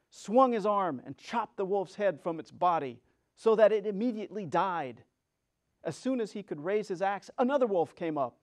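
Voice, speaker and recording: A man narrating a children's story as a dramatic reading, theatrical and expressive. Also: high-pitched. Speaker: storyteller, reader.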